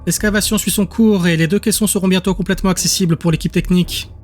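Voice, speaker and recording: Man voicing a brisk, high-pitched deferential female subordinate. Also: fairly even intonation, soft background music, natural voice. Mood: businesslike. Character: uneasy, deferential female subordinate reporting to her master. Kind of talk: audio drama acting.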